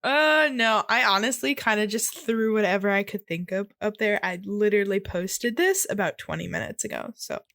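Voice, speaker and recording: A woman co-hosting a podcast in loose casual chat, with a giggly, excitable, irreverent manner. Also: mid-range voice, normal speed, hardly any pauses, dry recording, studio quality. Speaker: podcast co-host.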